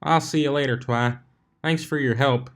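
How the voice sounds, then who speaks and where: A man's voice: mid-range voice, fairly even intonation, normal speed, voice pushed up, close to an everyday voice. A man voicing a plain-spoken, kindly female farm pony in a story reading, with a folksy, rural way of talking. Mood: reassuring. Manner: folksy, rural turns of phrase, reassuring.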